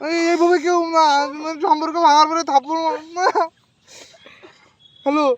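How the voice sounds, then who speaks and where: Man putting on a high-pitched, whiny lovelorn village boyfriend. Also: little pitch movement, normal speed, background noise. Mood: pleading. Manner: pleading, repetitive, melodramatic. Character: whiny, lovelorn jilted young village boyfriend, pleading and melodramatic. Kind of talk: prank phone call acting.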